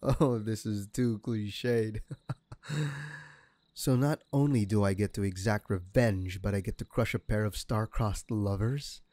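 A man's voice: lowish voice, unhurried, darkish tone, dry recording, natural voice. A man playing a smugly menacing, vengeful crime villain in an audio roleplay, with a mocking, theatrical delivery. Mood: mocking amusement. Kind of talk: scripted ASMR audio roleplay.

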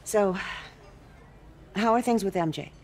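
A woman playing a kindly, candid older aunt in a video game, gently frank and probing. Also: mid-range voice, measured pace, a little hiss or hum, lightly acted. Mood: caring. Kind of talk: naturalistic video game acting.

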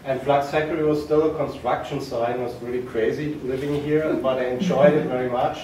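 A man's voice: medium pitch, fairly even intonation, a little fast, a little mellow, slight background noise. German-accented man in an echoey art space. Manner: hesitant, many fillers and restarts. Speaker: artist introducing a performance. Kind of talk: casual spoken introduction. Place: large art space, indoors. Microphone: far camera. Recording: very echoey room from far off.

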